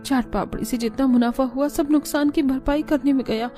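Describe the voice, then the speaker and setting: A woman voicing a poor, downhearted mother who sells street snacks in a cartoon, with plaintive, drawn-out delivery. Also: high voice, warm tone, soft background music, close to an everyday voice. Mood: tearful, worried.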